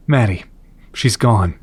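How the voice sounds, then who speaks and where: A man acting a distraught husband and father in an audio drama, with a husky voice and a brooding, halting manner. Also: fairly low pitch, exaggerated voice.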